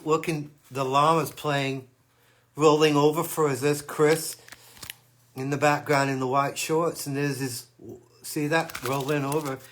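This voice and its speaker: An older man, a guitarist on a fan livestream, telling stories about his past in a relaxed way that often trails off. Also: a little high, a little hiss or hum. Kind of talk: casual reminiscing chat. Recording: echoey room.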